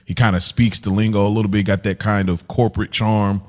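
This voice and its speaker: A man's voice: on the low side, little pitch movement, brisk. A man hosting a podcast, casually reviewing television in an informal, slangy way. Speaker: podcast host.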